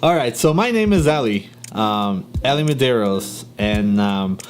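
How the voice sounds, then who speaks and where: A man's voice: mid-range voice, expressive, unhurried, faint music behind. A young man from Brazil with a Brazilian Portuguese accent, talking casually to camera, laughing often and filling gaps with ums. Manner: chatty, laughs often, many fillers and restarts. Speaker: YouTuber.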